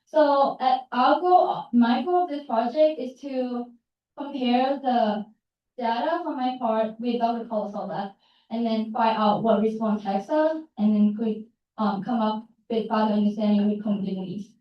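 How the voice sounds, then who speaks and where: Young woman, echoey hall. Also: fairly high pitch. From Thailand. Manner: halting, searching for words. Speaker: student presenter. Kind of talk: short research talk and Q&A. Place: large campus hall. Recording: echoey hall, distant, dull.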